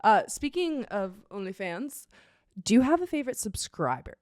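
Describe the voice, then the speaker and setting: A woman's voice: medium pitch, very animated, moderate pace, a little mellow, dry recording, studio quality. A woman hosting a podcast, asking questions and chatting casually with her guest. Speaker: podcast host. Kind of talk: casual interview chat.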